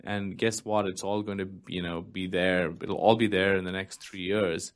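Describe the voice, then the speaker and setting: Low-pitched man talking candidly on a panel. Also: flat delivery, normal speed. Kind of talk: conversational policy discussion.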